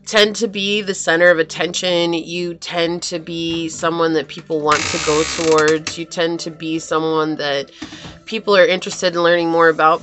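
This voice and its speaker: A woman's voice: fairly low pitch, a bit bright. A young woman with a scratchy voice, chatting casually and reassuringly as she gives a tarot reading. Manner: chatty, reassuring, laughs easily. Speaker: tarot reader.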